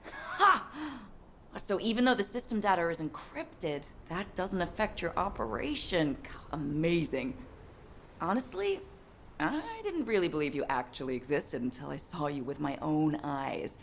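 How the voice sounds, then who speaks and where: An actress playing an excitable, curious, outspoken young woman engineer, chatty and exuberant, in a video game. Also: fairly low pitch, measured pace, slight background noise, exaggerated voice. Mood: playful, fascinated. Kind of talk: video game cutscene acting.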